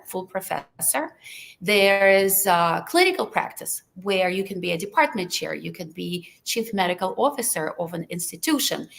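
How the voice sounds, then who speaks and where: A woman's voice: mid-range voice, vocal fry. A Russian-speaking woman from Lithuania, talking earnestly on a webinar panel with many fillers and restarts over a video call. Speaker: panelist anesthesiologist. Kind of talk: webinar talk.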